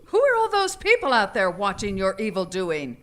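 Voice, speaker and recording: A woman's voice: medium pitch, wildly swooping pitch, normal speed, fairly bright tone, slight background noise. A woman playing a bossy, brainy, boastful wife in a live comedic radio drama, commanding and theatrical. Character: bossy, brainy, proudly over-qualified wife to the rescue. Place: theater stage before an audience.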